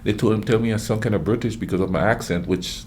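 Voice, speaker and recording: Deep-voiced Caribbean man, chatty host. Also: quick pace, darkish tone, slight background noise. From Grenada. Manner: rambling, chatty, many fillers and restarts. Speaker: reaction video host. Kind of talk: casual chat reacting to clips.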